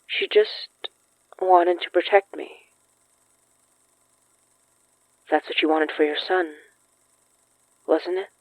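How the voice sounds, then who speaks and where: A woman playing a gentle, earnest young ghost summoner in an audio drama, speaking haltingly through a thin, radio-like filter. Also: fairly low pitch, fairly even intonation, dry recording. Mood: wistful. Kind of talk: intimate audio drama acting. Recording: thin, phone-like band-limited sound.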